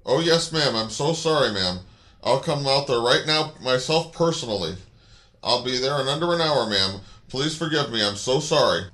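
A man's voice: medium pitch, expressive, moderate pace, sharp tone. A man playing a sly, scheming car mechanic with a fawning, falsely apologetic manner in a story.

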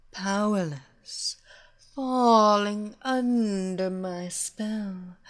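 A woman playing Evelina, slow sultry hypnotist. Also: medium pitch, darkish tone. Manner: slow, sultry, hypnotic repetition with breathy whispers. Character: seductive female villain hypnotist, domineering and teasing. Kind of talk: erotic hypnosis audio story.

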